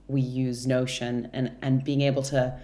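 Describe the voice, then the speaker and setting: Low-voiced woman with an American accent. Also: level tone, unhurried, dark tone. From Brazil. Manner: articulate, with frequent ums and restarts. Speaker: guest, product director. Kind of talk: conversational interview answers.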